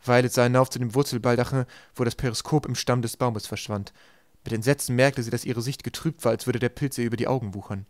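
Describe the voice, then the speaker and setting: A man's voice: medium pitch, fairly even intonation, fast talker, no room sound, clean studio sound. A man reading a storybook aloud as scripted narration. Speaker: audiobook reader.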